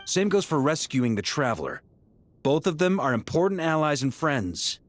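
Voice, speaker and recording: A man playing a confident, genial leader in a video game, brisk and authoritative. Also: fairly high pitch, very animated, no room sound. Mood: serious, hopeful. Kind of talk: video game dialogue acting.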